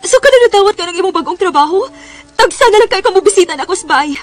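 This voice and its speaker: A woman's voice: very high voice, lively intonation, very fast. An actress playing an emotional, pleading young woman betrayed by her boyfriend, in a radio drama. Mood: pleading, tearful. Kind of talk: radio drama acting and first-person narration. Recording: music behind.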